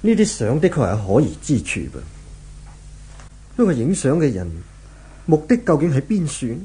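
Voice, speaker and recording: Husky, commanding police inspector. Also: male voice, on the high side, sing-song, normal speed, dark tone, exaggerated voice. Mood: measured, firm. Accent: Hong Kong Cantonese. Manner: firm, commanding, probing. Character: authoritative, methodical male police inspector leading raids. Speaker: radio drama actor. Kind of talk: 1970s Hong Kong Cantonese radio drama acting.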